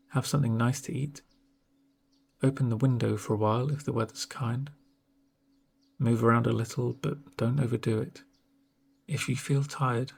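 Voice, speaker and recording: A soft-spoken man plays a caring doctor in an ASMR roleplay. His voice is husky and creaky, sitting at a middle pitch. He speaks gently and reassuringly, leaving unhurried pauses between phrases. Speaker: ASMR performer playing a home-visit doctor.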